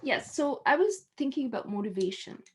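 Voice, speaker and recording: A woman's voice: high voice, lively intonation, brisk, slightly rough. A young woman interviewer speaks through a headset on a video call from her home office. She asks her questions in a chatty, rambling way, stopping and restarting as she goes. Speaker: interview host. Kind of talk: casual interview chat.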